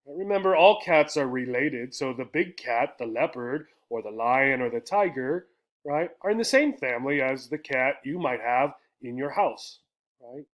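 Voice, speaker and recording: A man with an American accent explains vocabulary to English learners in a didactic way, repeating key words and often checking in as he goes. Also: mid-range voice. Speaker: English teacher.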